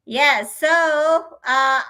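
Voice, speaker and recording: A woman's voice: high voice, very slow, pushed and bright. A grandmother from the Philippines co-hosting a casual business livestream, laughing often and repeating phrases. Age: older. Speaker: online business mentor and livestream co-host.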